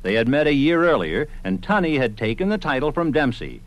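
A man's voice: medium pitch, very animated, brisk, low-quality recording. A man reading scripted historical narration as a radio documentary narrator. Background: music.

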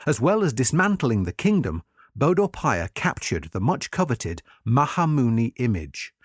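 Male narrator for long-form article, scripted documentary read, lively melody, dry recording. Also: mid-range voice, no echo.

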